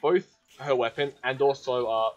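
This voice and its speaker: A male gaming video creator with a medium-pitched voice. He comments casually on his play in short, offhand remarks and reads prompts aloud. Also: steady tone, moderate pace, dull audio.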